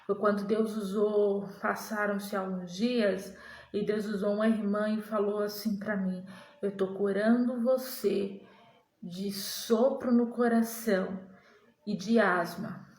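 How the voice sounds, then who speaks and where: A young woman giving a slow, earnest personal religious testimony to the camera, halting and restarting as she recalls things. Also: medium pitch, steady tone, darkish tone, reverberant. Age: young adult.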